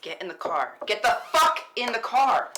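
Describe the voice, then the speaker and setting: A woman's voice: fairly high pitch, sing-song, moderate pace. A woman playing an anxious, angry, sweary working mother at a film script table read, in a noisy live recording. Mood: furious, anxious. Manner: tense, exasperated, sweary. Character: frantic, foul-mouthed working mother and wife, angry, anxious.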